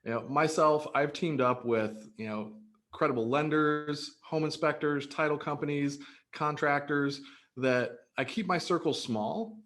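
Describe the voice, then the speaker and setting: A man's voice: on the high side, unhurried, fairly bright tone. A man in his thirties, born in Greece, answering interview questions about his real estate business in an upbeat, earnest way, with many fillers and restarts. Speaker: real estate broker, guest.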